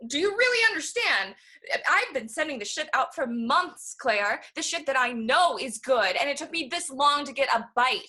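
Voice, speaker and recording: An actress playing a tense, ambitious young writer, an impatient older sister, in a stage play. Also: female voice, high-pitched, big pitch swings, moderate pace, pushed and bright, heavily acted. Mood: exasperated. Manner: impatient, cutting, swears. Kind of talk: naturalistic one-act stage play.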